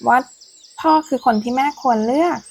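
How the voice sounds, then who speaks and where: A woman's voice: high voice, moderate pace, creaky, husky, full character voice. A woman voicing a curious, sweet little girl who asks polite, childlike questions in a storybook tale.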